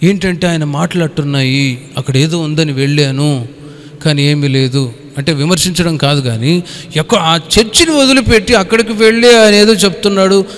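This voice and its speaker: Male pastor, slightly high-pitched, exhorting colloquially in a Bible study, mixing in English words; echoing hall recording. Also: normal speed. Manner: colloquial, exhorting, with rhetorical questions; code-mixes English words into speech. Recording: echoey hall with long reverb.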